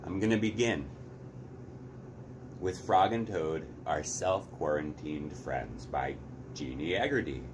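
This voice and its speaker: A man's voice: very deep voice, expressive, takes it slowly, long pauses, noisy background. A man narrating a story aloud expressively as a read-aloud host.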